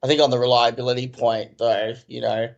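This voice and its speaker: A man, a company co-founder and chief executive, answering interview questions in a measured, thoughtful way full of "I think.". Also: medium pitch, level tone, vocal fry, muffled recording. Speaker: company co-founder and CEO, podcast guest.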